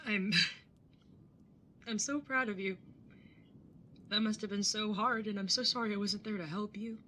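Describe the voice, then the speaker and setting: Actress as bright-voiced, earnest older sister. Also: female voice, mid-range voice, normal speed, slight background noise, close to an everyday voice. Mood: remorseful, tender. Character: apologetic, protective older sister on a road trip. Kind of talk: audio drama acting with first-person narration.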